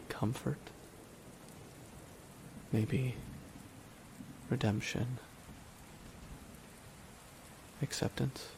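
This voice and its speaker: A man playing a bitter, weary supervillain in a dramatic ASMR audio roleplay, speaking deliberately with long pauses to a dying nemesis. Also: lowish voice, vocal fry, exaggerated voice. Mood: wry, probing. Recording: steady rain noise behind the voice. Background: rain falling on surfaces.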